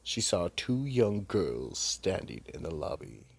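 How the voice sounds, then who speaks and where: A man narrates a scripted mystery drama in a deep, gravelly voice. He takes his time, and his pitch rises and falls dramatically as he tells the story. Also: sing-song, takes it slowly, noisy background.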